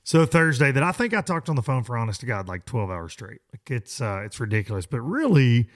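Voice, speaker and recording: A hoarse man with a drawl hosts a podcast. He talks at a middle pitch in a loose, folksy ramble, full of restarts. He often pokes fun at himself. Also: big pitch swings, fairly quick. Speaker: podcast host. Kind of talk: casual rambling monologue.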